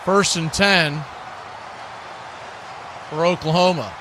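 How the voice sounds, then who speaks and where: A man's voice: on the high side, slow pace, noisy background. A man doing live football play-by-play, mixing clipped calls with folksy, chatty asides. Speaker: play-by-play announcer and stream host.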